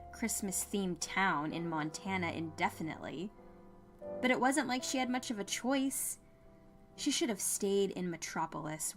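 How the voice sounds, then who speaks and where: A woman reading scripted fiction as an expressive audiobook narrator. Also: fairly low pitch. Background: music.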